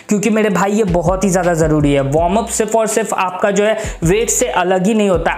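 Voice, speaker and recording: A young man with a high voice talks gym advice like a big brother, earnest and filler-heavy. He sounds like he is in an echoey room. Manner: earnest, brotherly, repeats "mere bhai" and fillers. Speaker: fitness YouTuber. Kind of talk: casual advice vlog.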